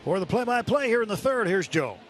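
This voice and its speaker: A man's voice: high-pitched, sing-song, fairly quick, slight background noise. A man working as a radio sports broadcaster, speaking in a scripted announcing style.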